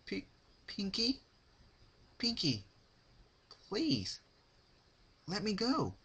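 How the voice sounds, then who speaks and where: Casting: terrified ladylike pony, played by a man, high falsetto-like pitch, slow halting pleas, cartoon horror fan fiction. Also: huge pitch swings, pushed and bright, a little hiss or hum, heavily acted. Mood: desperate pleading. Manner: halting, pleading. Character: frightened, refined female pony pleading for mercy. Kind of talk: fan-fiction dramatic reading.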